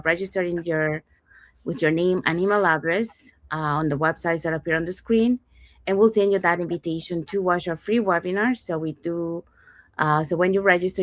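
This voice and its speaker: A woman's voice: on the low side, dry recording. A woman from Panama hosting a live online panel, chatty and upbeat, correcting herself as she goes. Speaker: host.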